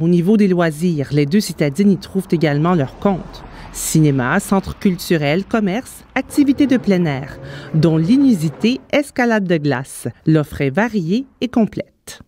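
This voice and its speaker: A woman's voice: on the low side, dark tone, slight background noise. A woman reading scripted narration for a video report as its reporter. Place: voice-over recording. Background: music bed.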